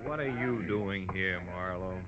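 A man's voice: sits low, measured pace, a crowd in the background. A man playing a world-weary American police detective in an old radio crime drama, dry, matter-of-fact and needling. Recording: dull, faintly noisy old radio recording.

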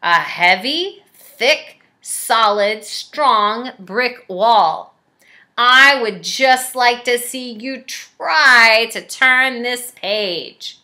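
Lady doing a scaredy furry storybook monster guy, lovable, pleading and overdramatic, really animated, slow emphatic delivery. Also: fairly high pitch, heavily acted. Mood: determined. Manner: pleading, melodramatic, exaggerated emphasis.

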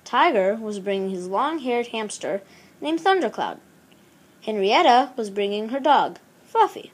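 High-pitched, animated storybook reader, female. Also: measured pace, husky. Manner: animated, acts out exclamations and dialogue. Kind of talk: expressive read-aloud of a children's picture book.

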